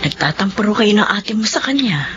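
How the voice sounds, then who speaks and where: An actress with a Filipino accent playing a kind middle-aged aunt, soothing and persuasive, in a radio drama. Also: female voice, mid-range voice, very animated, fairly quick, over music, natural voice. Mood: gentle, persuasive. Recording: muffled radio broadcast, background ambience.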